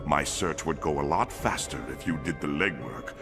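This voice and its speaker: Super deep four-armed alien cop, polite n formal, game cutscene w music behind. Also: male voice, lively intonation. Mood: earnest, businesslike. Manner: formal, measured, deliberate wording. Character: courteous, solemn four-armed male alien lawman, big and older. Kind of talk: animated game cutscene acting.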